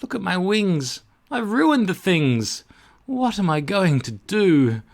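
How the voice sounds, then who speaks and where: A fragile little storybook butterfly voiced by a man pleads in a high voice. It sounds small, frightened and plaintive, with swooping pitch. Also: big pitch swings, slow, vocal fry, heavily acted. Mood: distressed.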